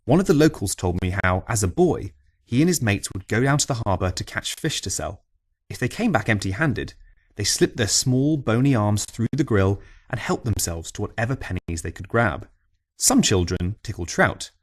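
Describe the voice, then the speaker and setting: Lively, expressive male audiobook narrator. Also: fairly low pitch, normal speed. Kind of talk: scripted narration of local history.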